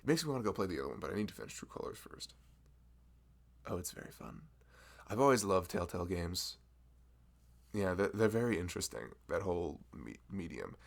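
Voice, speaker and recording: A man playing a chatty, gravelly-voiced florist. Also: sits low, very animated, brisk, pauses often, exaggerated voice. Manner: rambling, self-deprecating, laughs often. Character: chatty nerdy young male florist, friendly. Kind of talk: ASMR roleplay.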